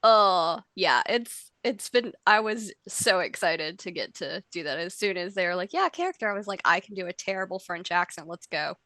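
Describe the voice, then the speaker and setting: A woman co-hosting a podcast in casual chat, upbeat and quick to laugh. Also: on the low side, lively intonation, pushed and bright, no room sound. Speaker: podcast co-host.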